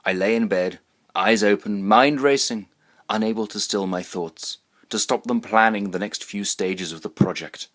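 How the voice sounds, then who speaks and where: A man's voice: lowish voice, a bit bright, close to an everyday voice. A man playing a pompous, fussy, paranoid inventor who formally and dramatically recounts his mishap in a comic short story. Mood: self-satisfied, wry. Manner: formal, verbose, deliberately enunciated, dramatic.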